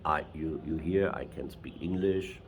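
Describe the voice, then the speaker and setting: German guy in halting english, really low voice, lots of restarts, faint noise behind. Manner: halting non-native English, many restarts. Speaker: traveler and interviewer. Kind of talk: casual interview.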